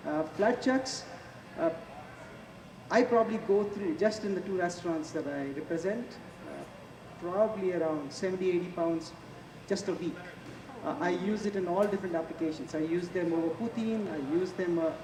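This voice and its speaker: A man in his forties with an Indian accent presents a live cooking demonstration. He talks informally and haltingly, like someone chatting as he works. The sound is distant and echoey, with clear noise around him. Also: sits high. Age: 40s. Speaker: chef giving a cooking demonstration. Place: large echoey event space before an audience. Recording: echoey, noisy public hall, distant mic.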